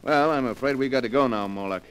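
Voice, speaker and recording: An actor playing a matter-of-fact, plain-spoken police inspector in 1940s radio style. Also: male voice, medium pitch, fast talker, clear, resonant tone, natural voice. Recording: noisy 1940s radio recording.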